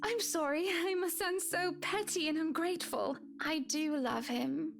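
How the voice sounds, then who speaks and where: An actress playing a polite, wistful, softly melancholy young wealthy wife in a visual novel game. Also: female voice, very high voice, a little slow, creaky, music in the background, heavily acted.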